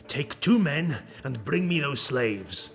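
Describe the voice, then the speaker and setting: A snarling dark elf raid leader, full of hate and contempt. He commands harshly in a fantasy audiobook, with loud music behind him. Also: on the high side, very animated, slow, vocal fry, exaggerated voice. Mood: barking orders. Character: cruel, hate-filled dark elf highborn raider leader.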